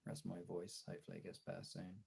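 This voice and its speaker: Male streamer, gravelly and raspy, confessional off-the-cuff delivery with frequent pauses and casual swearing. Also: sits low, completely flat delivery, darkish tone. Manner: halting, candid, swearing. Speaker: live streamer and voice actor. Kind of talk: casual confessional livestream chat. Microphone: phone.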